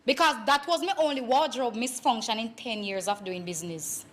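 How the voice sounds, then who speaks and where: A woman's voice: fairly high pitch, fairly quick, a little hiss or hum, close to an everyday voice. A woman playing a brazen, determined pregnant Jamaican woman in a comic stage play, insistently confronting her lover. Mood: defiant, scheming. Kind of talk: comedic stage play acting.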